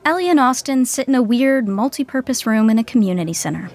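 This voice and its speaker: A woman's voice: a little high, animated, quick pace, a little mellow, some chatter behind. A woman playing a wry narrator in a scripted podcast sitcom. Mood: brisk narrating.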